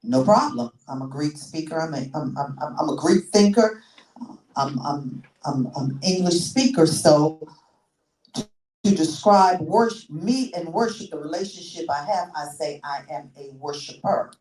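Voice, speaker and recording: Low-voiced older woman preaching in an echoey church. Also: expressive, unhurried, mellow. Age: 60s. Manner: teaching, repeats herself, many restarts. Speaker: pastor. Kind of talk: sermon. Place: church sanctuary. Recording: echoey church room, mic far off.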